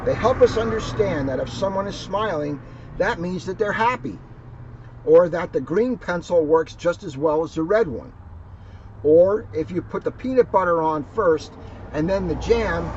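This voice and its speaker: A man with a fairly high voice reads a picture book aloud for children, adding plain explanations as he goes. The sound is somewhat dull, with faint noise behind him. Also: lively intonation, moderate pace. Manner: simple, explanatory phrasing aimed at children. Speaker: police officer reading a children's book.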